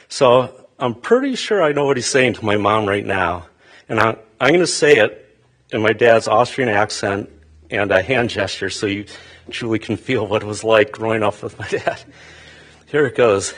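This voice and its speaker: A man giving a halting funeral eulogy for his mother in a funeral chapel, with many fillers and restarts. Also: mid-range voice, a bit bright.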